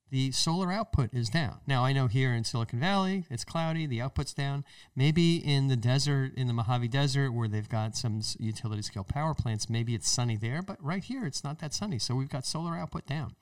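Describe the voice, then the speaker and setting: A man's voice: mid-range voice, no echo, distant mic. An American man hosting a podcast, explaining current affairs in a conversational, emphatic monologue with rhetorical questions. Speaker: podcast host.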